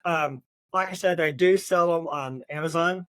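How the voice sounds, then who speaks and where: Halting, higher-pitched male podcast co-host. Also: a bit bright, no room sound. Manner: halting, hesitant delivery with repeated words. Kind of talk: casual book discussion chat.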